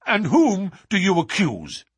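A man's voice: lowish voice, very animated, a little slow, fairly bright tone, slightly rough, dry recording, full character voice. A man voicing a stern, haughty older nobleman in an audiobook, speaking in a stately, curt, grave way. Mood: alarmed. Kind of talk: audiobook character voice.

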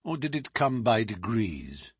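A man's voice: fairly low pitch, sing-song, unhurried, husky, no room sound, full character voice. A man voicing a languid, ironic male detective who answers threats with dry mockery. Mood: mocking. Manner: cool, dry, mocking.

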